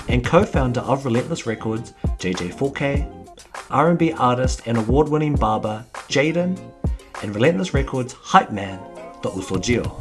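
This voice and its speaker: A man hosting a podcast, introducing guests and asking measured questions. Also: medium pitch. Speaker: podcast host.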